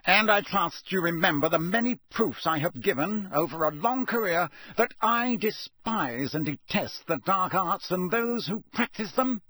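A man's voice: high-pitched, expressive, a little slow, few pauses, bright, forceful tone, no room sound, voice pushed up, full character voice. A man playing an icy stern official. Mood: cold anger. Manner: icy anger, clipped. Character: cold, stern older male official.

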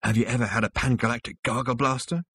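A man's voice: medium pitch, little pitch movement, quick pace, bright, forceful tone, slightly rough, voice pushed up. A man voicing a flippant, suspicious, slangy male space rogue in an audiobook. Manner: slangy, incredulous, demanding. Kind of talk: audiobook character dialogue.

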